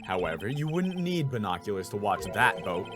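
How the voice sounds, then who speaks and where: Man voicing an animated, earnest young defense attorney. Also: fairly low pitch, normal speed, over music, pitched below the performer's own voice. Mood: accusing. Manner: emphatic, accusing, inner musing.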